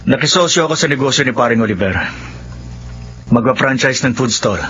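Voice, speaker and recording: An actor playing an evasive, defensive middle-aged husband with a Filipino accent in a radio drama. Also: male voice, mid-range voice, lively intonation, brisk, fairly bright tone, close to an everyday voice. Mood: explaining. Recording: muffled radio recording with faint noise.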